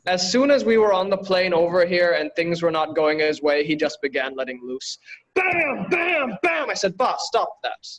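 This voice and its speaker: A man from Bangladesh doing a stand-up set over a video call, delivering jokes in a dry, deadpan way. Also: sits high, moderate pace, rough recording. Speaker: stand-up comedian.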